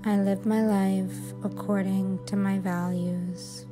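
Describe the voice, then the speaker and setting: A woman guiding a sleep hypnosis and meditation in a soothing, unhurried, measured way. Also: mid-range voice, flat delivery. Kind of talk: guided sleep hypnosis, affirmations. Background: music.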